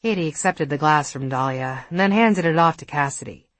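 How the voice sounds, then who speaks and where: A woman's voice: low voice, brisk, no echo. A woman reading fiction as an audiobook narrator, in a scripted storytelling style.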